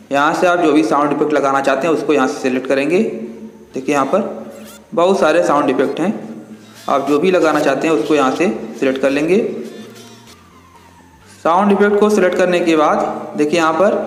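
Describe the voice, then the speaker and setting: A man narrating an app tutorial step by step in an instructional, repetitive way. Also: fairly high pitch. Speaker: tutorial host. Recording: echoey reverberant voice-over with music.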